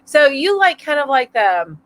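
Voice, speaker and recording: A chatty middle-aged woman hosting a live stream from home, her voice sitting a bit high. She rambles along with fillers and little laughs as she reads out comments. She sounds a touch stuffy from allergies. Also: very animated, moderate pace, fairly bright tone, rough recording. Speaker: host of a weekly live chat. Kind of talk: casual live-stream chat reading viewer comments. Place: home, mountain area.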